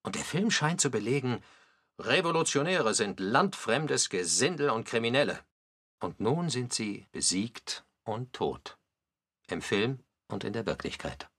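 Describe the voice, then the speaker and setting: A man's voice: mid-range voice, very animated, unhurried, a bit bright, husky, dry recording, clean studio sound, exaggerated voice. A man delivering scripted dramatic narration for a radio documentary, with emphatic, pointed phrasing.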